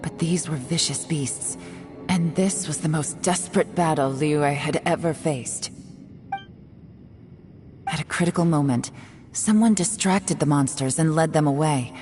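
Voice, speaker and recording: A woman voicing a secretive, composed young woman agent in a video game, speaking in a measured, guarded way with heavy sighs. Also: sits low, music in the background. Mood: solemn.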